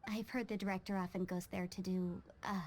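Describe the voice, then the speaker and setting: An actress playing a polite, helpful receptionist in a video game, courteous and slightly hesitant. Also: female voice, medium pitch, little pitch movement, fairly quick, slight background noise, close to an everyday voice. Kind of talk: English video game voice acting.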